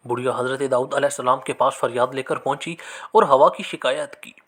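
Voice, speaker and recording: A man narrating scripted religious moral stories in an expressive, measured storytelling manner. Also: medium pitch, husky.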